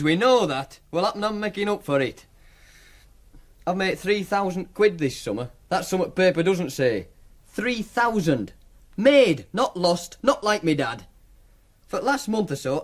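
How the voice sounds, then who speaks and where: An actor playing a defiant, self-assured young student gambler with a Northern English accent in a naturalistic radio drama. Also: male voice, high voice, expressive, normal speed. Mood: defensive, insistent. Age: young adult.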